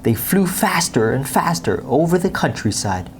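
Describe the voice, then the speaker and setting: Very low-pitched, animated woman storyteller. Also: moderate pace, a little hiss or hum. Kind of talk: children's bedtime story read-aloud.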